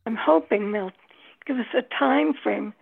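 Gravel-voiced female, husky and fairly high, casual conversation heard through a narrow telephone-style connection. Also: unhurried. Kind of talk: casual group chat. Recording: thin phone-like line.

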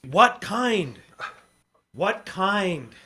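An actor playing a terse, wry adventurer and reporter in an old-time radio noir drama, clipped and deadpan. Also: male voice, sits high, extremely slow, slightly rough, full character voice. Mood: pressing, concerned. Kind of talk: old-time radio noir acting and first-person narration.

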